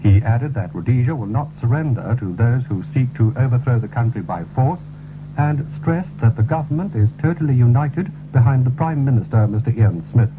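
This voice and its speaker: A man reading a formal, scripted radio news bulletin in a measured way, on a 1970s broadcast. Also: mid-range voice, expressive, music in the background. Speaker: radio newsreader. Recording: tinny, narrow-band 1970s radio broadcast recording.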